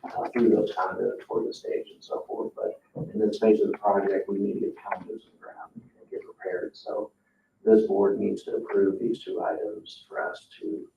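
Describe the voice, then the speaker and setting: A man's voice: lowish voice, little pitch movement, normal speed, gravelly, warm tone. A man presenting to a board in an informal, explanatory way, sometimes hesitant in his phrasing. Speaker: town staff member presenting to a board. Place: indoor public meeting room. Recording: muffled, echoey meeting room, distant mic, hiss.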